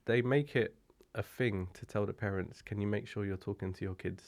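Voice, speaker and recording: A man's voice: lowish voice, fairly quick. A man co-hosting a podcast, easygoing and chatty. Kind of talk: casual podcast chat.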